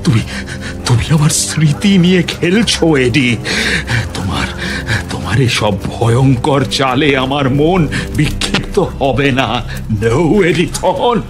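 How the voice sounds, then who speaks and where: A man plays a tormented, guilt-ridden man losing his grip in an audio drama. His delivery is slow but swings widely in pitch. He repeats and stumbles over words with theatrical paranoia, over clearly audible music. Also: sing-song. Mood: anguished denial. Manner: stammering, repeating himself, theatrical outbursts. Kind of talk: audio drama acting, Bengali mixed with English.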